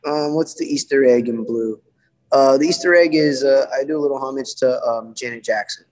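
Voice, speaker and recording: A man's voice: medium pitch, a little fast, darkish tone. A young adult man, a musician, chatting casually about his album, rambling with lots of fillers and humming guitar parts aloud. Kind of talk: casual podcast chat.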